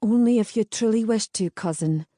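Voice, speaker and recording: A woman voicing a bold, steady young Scottish woman with a firm, commanding manner, in a Scottish accent. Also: fairly high pitch, moderate pace, dry recording, clean studio sound, pitched above the performer's own voice, lightly acted. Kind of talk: audiobook character voice.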